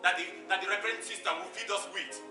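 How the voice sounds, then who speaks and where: Echoey distant stage recording, music behind, male actor playing animated Nigerian street-hustler orphan, dramatic emphatic storytelling, repeated phrases. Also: sits high, fast talker, lightly acted. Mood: dramatic recounting. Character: animated orphaned street-hustler man recounting his hard life. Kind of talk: theatrical stage monologue storytelling.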